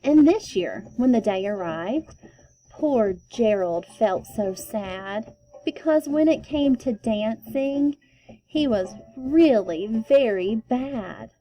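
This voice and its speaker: A woman storyteller giving an expressive read-aloud of a children's book with deliberate, emphatic phrasing. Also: fairly high pitch, poor audio.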